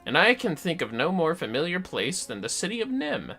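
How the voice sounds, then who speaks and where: Man putting on a lively, theatrical fantasy scholar. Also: fairly high pitch, brisk, gravelly, faint music behind, voice pushed up, exaggerated voice. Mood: learned, pondering. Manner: formal, theatrical, archaic wording. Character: scholarly, formal fantasy game character reading ancient texts. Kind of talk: reading video game dialogue in character.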